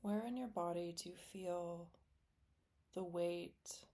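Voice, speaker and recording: Female meditation guide, lower-pitched voice, gentle and unhurried scripted delivery, soothing, with long pauses.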